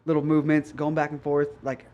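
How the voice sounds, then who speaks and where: Fast-talking man hosting a casual chat. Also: fairly high pitch, muffled recording. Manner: rapid, relaxed and slangy, with frequent "like" and "dude". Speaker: podcast host.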